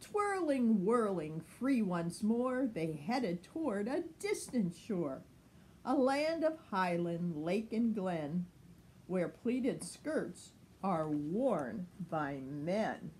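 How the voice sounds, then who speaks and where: Older lady in her 60s reading a kids book, super slow n dramatic, lots of up and down, bit of noise. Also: mid-range voice, sing-song, darkish tone, poor audio. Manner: measured, dramatic, lingering on words. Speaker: picture book reader. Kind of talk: expressive children's story reading. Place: sunny room at home by large window.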